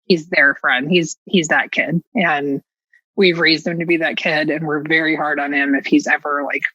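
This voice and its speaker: Candid American woman, low bright voice. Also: steady tone, normal speed, dry recording, dull audio. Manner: candid, sarcastic, self-mocking, acting out dialogue. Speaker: podcast guest. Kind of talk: casual personal storytelling.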